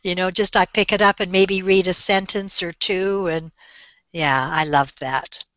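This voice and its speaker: An older woman speaking on a webinar call as a guest, telling her story in a gentle, reflective way. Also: on the low side, fairly even intonation, measured pace, dry recording, dull audio. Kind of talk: slow, thoughtful storytelling.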